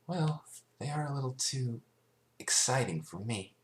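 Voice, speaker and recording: A timid young pegasus mare from a cartoon fan story, put on by a man. The voice sits higher than natural speech and is soft, courteous and a little hesitant. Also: expressive, unhurried, close to an everyday voice. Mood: timid, polite. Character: gentle, timid young female pegasus pony.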